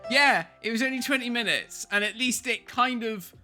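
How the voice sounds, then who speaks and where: A man's voice: extremely high pitch, moderate pace, bright, forceful tone, faint music behind. A man co-hosting a casual film commentary, sweary, mocking and giggly. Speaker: podcast co-host.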